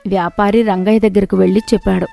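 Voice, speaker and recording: A woman's voice: mid-range voice, little pitch movement, fast, vocal fry, dark tone, soft background music. A woman reading a scripted moral story as its narrator.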